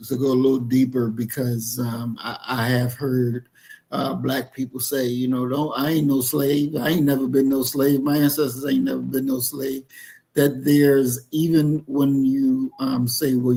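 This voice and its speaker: A man's voice: medium pitch, vocal fry, warm tone, poor audio. A man hosting a conversational podcast interview, hesitant and searching for words as he puts his questions.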